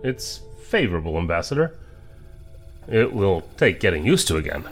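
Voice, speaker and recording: A reserved, weary federal agent in a sci-fi audio drama. His low voice stays terse and dry yet moves expressively in pitch, with music underneath. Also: big pitch swings, moderate pace. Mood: guarded. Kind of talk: science fiction audio drama acting.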